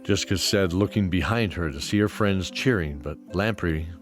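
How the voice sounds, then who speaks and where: Deep-voiced guy narrating a fantasy audio story, scripted, music behind him. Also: moderate pace.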